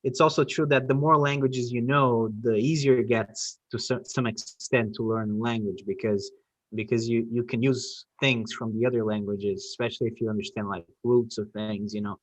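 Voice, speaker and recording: A man's voice: medium pitch, steady tone, normal speed, no echo, poor audio. A middle-aged man whose first language is Portuguese, talking casually and emphatically, often repeating phrases to make his point. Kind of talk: casual conversation.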